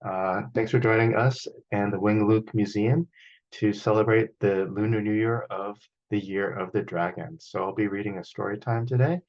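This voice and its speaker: A man reading a children's picture book aloud as a storyteller, in an expressive manner, over a video call. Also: on the low side, muffled recording. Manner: expressive, acts out sound effects.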